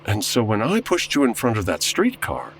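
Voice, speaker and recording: A man's voice: lowish voice, huge pitch swings, moderate pace, vocal fry, a bit bright, a little hiss or hum, full character voice. An actor playing an anxious, bewildered, earnest man from the 1940s in a comedy audio drama. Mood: weary, puzzled. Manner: fretful, earnest. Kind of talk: comedy audio drama acting, old-time radio flavour.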